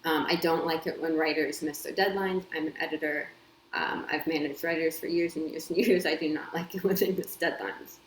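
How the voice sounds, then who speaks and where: A woman's voice: deep voice, fairly even intonation, fairly quick, a little hiss or hum. A woman, a copywriter and editor, chatting casually to camera from home with lots of ums and small laughs. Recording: echoey room with faint ambience.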